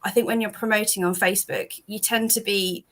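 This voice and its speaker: A British woman talking casually about her comic on a call, rambling with lots of ums and restarts, a bit hoarse from losing her voice. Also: mid-range voice, fairly even intonation, brisk. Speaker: comic creator guest. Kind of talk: casual chat on a video call.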